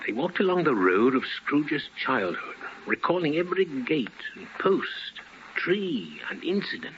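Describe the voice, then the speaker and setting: A man's voice: a little high, sing-song, unhurried. A man narrating a Christmas tale as a theatrical 1950s radio storyteller. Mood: vivid storytelling. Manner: theatrical, relishing lists. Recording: dull old radio recording with music.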